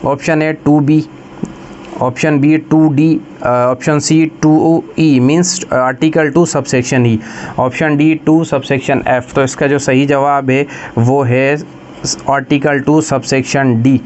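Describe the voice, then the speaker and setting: A man with a Pakistani accent giving an explanatory exam-prep lecture, slowing down to read questions deliberately and then explaining them. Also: mid-range voice, fairly even intonation, darkish tone, muffled recording, a little hiss or hum. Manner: switches between Urdu explanation and slow, deliberate English reading. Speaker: lecturer for law exam preparation.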